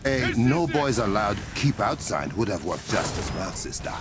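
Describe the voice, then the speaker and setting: An actor playing a proud, protective warrior king in a video game, speaking formally with measured, menacing command. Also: fairly low pitch, sing-song, loud music behind the voice. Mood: dry, teasing. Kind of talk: dramatic video game acting.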